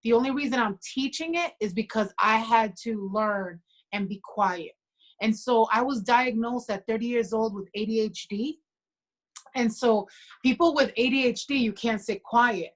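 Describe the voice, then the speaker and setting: A woman in her forties, a podcast guest, talking earnestly and reflectively with quiet conviction. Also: a little high, moderate pace, poor audio. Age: 40s. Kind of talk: casual conversation.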